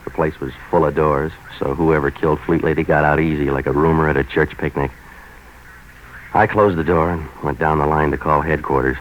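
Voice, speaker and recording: An actor playing a hard-boiled, wisecracking private operator in a deadpan, sardonic, clipped 1940s radio noir style. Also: male voice, very deep voice, a little fast, muffled recording. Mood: deadpan, brooding. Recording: noisy vintage 1940s radio recording.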